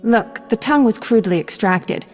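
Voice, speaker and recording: A woman's voice: on the high side, expressive, normal speed, soft background music, natural voice. A woman voicing a confident, professional medic in a video game, clinical and matter-of-fact. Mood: analytical. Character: brisk, confident young female medic, proud professional. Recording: long hall-like reverb.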